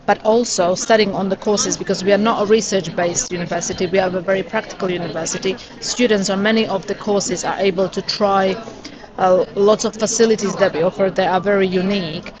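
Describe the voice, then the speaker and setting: A woman representing a university answers interview questions steadily, pausing with frequent "uh"s. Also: medium pitch, fairly even intonation, low-quality recording. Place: busy education expo hall. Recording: crowd noise behind. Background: crowd chatter.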